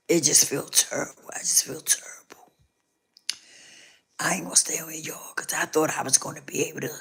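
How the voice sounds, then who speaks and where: Hoarse, whispery low-voiced woman. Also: a bit bright. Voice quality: rough, scratchy voice. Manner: repetitive, talks straight to viewers. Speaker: live stream host. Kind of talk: casual live stream chat.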